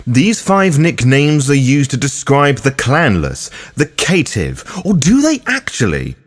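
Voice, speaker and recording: A man's voice: mid-range voice, wildly swooping pitch, a little slow. A man hosting a guide, delivering scripted explanatory narration in a theatrical, florid manner. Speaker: host of a roleplaying guide show.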